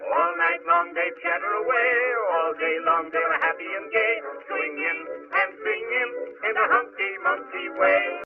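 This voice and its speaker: A man's voice: sits high, sing-song, normal speed. A man performing as a cheerful singer of a silly novelty ditty in old-time radio style. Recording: tinny old-radio band, loud music.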